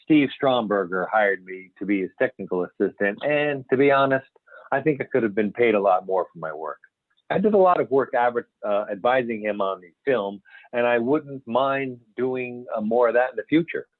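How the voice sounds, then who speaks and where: A man's voice: mid-range voice, animated, normal speed, no room sound, natural voice. A man playing a chatty, mildly self-important doctor in an amateur script reading, a little hesitant. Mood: modest, chatty. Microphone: conference call. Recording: tinny phone-like line.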